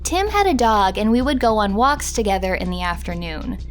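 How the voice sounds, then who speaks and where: A woman's voice: mid-range voice, big pitch swings, normal speed, soft background music. A woman reading out first-person quotes as scripted narration for a documentary. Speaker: reader of quotes.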